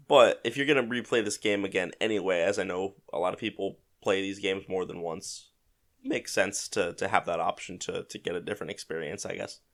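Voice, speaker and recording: A man with a slightly congested voice from a mild head cold, hosting a casual solo gaming podcast in a conversational, hedging way, thinking aloud. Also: fairly low pitch. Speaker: podcast host. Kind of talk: casual solo podcast commentary on a video game.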